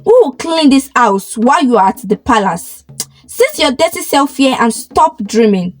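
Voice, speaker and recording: A cruel, bullying stepmother from a folktale, played by a woman storyteller. She snaps and scolds in a high, very animated voice. Faint music plays underneath. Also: normal speed. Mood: scornful. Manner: snapping, scolding.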